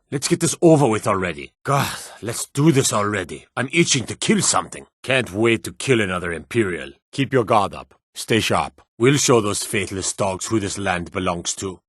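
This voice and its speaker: A man playing a stern soldier guard in a fantasy war video game, with a gruff, commanding manner. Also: lowish voice, fairly bright tone, dry recording, studio quality. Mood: impatient, hostile. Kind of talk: scripted fantasy game dialogue barks.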